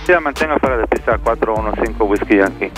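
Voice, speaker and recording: A man giving formulaic tower clearances over air traffic radio. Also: fairly low pitch, animated, fast talker, music in the background.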